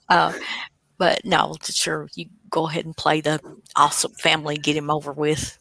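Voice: medium pitch, level tone, a little slow, gravelly, pushed and bright, husky, no echo. An older co-host chatting casually in a rambling, wry way. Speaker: radio show co-host. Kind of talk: casual chat.

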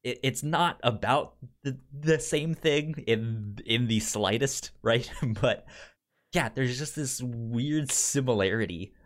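Slow-paced, stammering podcast co-host. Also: mid-range voice. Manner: stammering, repeats words and syllables. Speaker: podcast co-host reviewing films. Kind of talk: casual conversational film chat.

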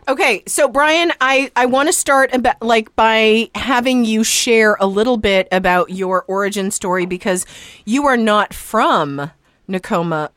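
A woman's voice: a little high, a little slow, a bit bright, dry recording, clean studio sound. A woman hosting a podcast, asking a guest questions in a casual, conversational interview style.

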